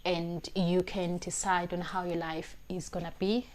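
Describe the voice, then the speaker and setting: A woman's voice: on the low side, flat delivery, unhurried. Earnest South African woman in her twenties. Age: 20s. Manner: earnest, emphatic, repeats phrases while searching for words. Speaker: vlogger. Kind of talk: talking-to-camera life advice. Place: small indoor room, plain wall. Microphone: lapel mic.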